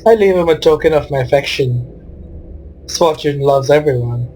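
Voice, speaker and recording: A man's voice: a little high, normal speed, a little mellow, slight background noise, poor audio. A man giving informal, wry commentary on his own video game match, voicing his reactions as he goes.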